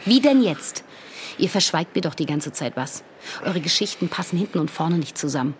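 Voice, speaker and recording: An actress playing a sceptical adult investigator in a radio drama, questioning in a probing, insistent way. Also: female voice, on the low side, lively intonation, normal speed, slight background noise, natural voice. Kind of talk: naturalistic German radio drama acting.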